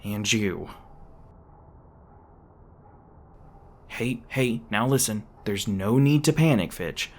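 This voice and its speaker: A man playing an edgy, sarcastic but secretly soft-hearted monster hunter in an ASMR roleplay, prone to stammering when flustered. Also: on the low side, animated, unhurried, a little hiss or hum. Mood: reassuring.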